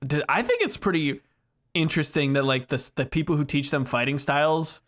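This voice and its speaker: A man's voice: on the high side, brisk, fairly bright tone. A man co-hosting a podcast, chatting casually about video games in slangy language with casual swearing. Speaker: podcast co-host.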